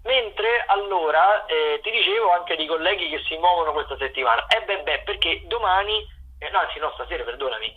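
The sound is thin and tinny, like a phone line. A man with a slightly high voice presents listings as a radio host. He talks off the cuff, haltingly, drawing out words and correcting himself as he goes. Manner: halting, improvised delivery with drawn-out words and self-corrections. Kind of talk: unscripted radio programme rundown of upcoming shows.

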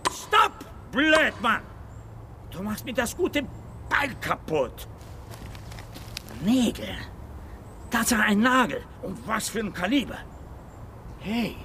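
An actor playing an excitable, grumbling labourer in a radio drama, blunt and exclaiming. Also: male voice, high voice, takes it slowly, long pauses, background noise, heavily acted. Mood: alarmed, annoyed.

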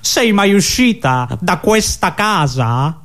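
A man's voice: high-pitched, sing-song, takes it slowly, a bit bright, heavily acted. A man playing an earnest, bashful male owl who courts tentatively in an absurdist radio sketch. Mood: shy courting.

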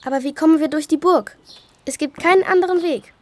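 An actress playing a bold, practical young child in a children's radio play, with a direct, questioning manner. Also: female voice, high voice, brisk, a little mellow, natural voice. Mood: curious, bold.

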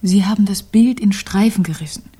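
An actress playing a self-possessed, teasingly polite young artist's model with a soft, breathy voice in a radio crime drama. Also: female voice, medium pitch, normal speed. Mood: tense recounting.

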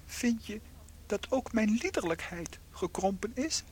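A woman playing a tiny shrunken unfaithful husband in a radio play, theatrical, anxious and guilt-ridden, with a high husky voice. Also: animated, measured pace, a little mellow. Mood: remorseful.

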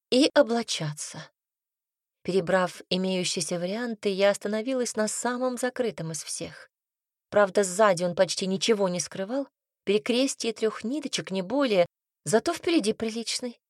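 A woman narrating a first-person story as an audiobook, reading in an even, expressive way. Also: medium pitch, no room sound, studio quality.

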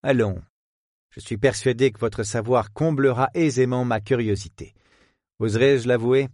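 A man voicing a polite, witty male detective who speaks in a measured, courteous way. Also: mid-range voice, steady tone, a little mellow, no echo, clean studio sound, lightly acted.

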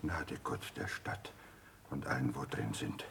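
A man's voice: very deep voice, measured pace, gravelly, background noise, heavily acted. An actor playing an anxious middle-aged peasant father, rustic and agitated, in a radio drama. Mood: alarmed.

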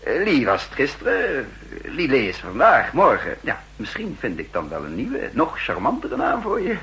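An actor playing a breezy, teasing middle-aged intelligence boss in a 1960s radio drama, bantering with hesitations and tag questions. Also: male voice, mid-range voice, huge pitch swings, normal speed, sharp tone, exaggerated voice. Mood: improvising, breezy.

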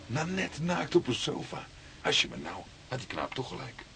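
An actor playing a young police detective in a radio play, an earnest, touchy and sceptical sidekick. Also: male voice, mid-range voice, huge pitch swings, moderate pace, sharp tone, full character voice. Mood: startled. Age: young adult. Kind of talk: naturalistic radio play acting. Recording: radio play with clear background noise.

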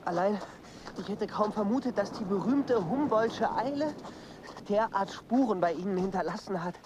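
A man's voice: high-pitched, expressive, moderate pace, background noise. An actor playing a resentful young man, the son of a famous father, in a radio play, sounding wry and complaining. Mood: irritated.

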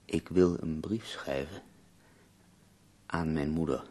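Intense ailing poet in a radio play, really deep husky voice, middle-aged, theatrical. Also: male voice, slow, mellow, full character voice. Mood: measured. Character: ailing, intense male German poet dictating to a woman.

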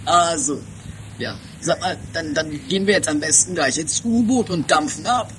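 A man's voice: fairly high pitch, moderate pace, a bit bright, natural voice. A man playing a fussy, know-it-all young fiancé in an improvised comedy radio play, nagging, pedantic and hesitant. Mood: fussy, exasperated. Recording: noisy lo-fi 1980s amateur radio recording.

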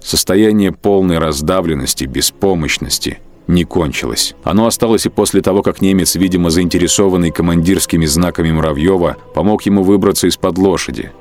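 A man giving a measured, dramatic literary narration of a war story for an audio drama. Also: deep voice, darkish tone.

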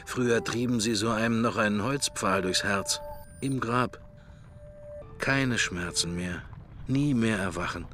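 A man's voice: fairly low pitch, unhurried, fairly bright tone, a little hiss or hum. An actor playing a musing, wry middle-aged man in a German radio drama, speaking his thoughts in clipped, understated fragments. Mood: brooding, musing.

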